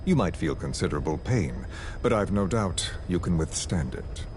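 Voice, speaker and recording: Super low villain voice, cold n polite, dramatic game interrogation, noisy background. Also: male voice, expressive. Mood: calm menace. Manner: measured, menacing, falsely polite, theatrical. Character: cold, calculating male villain interrogating a captive. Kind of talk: dramatic video game voice acting.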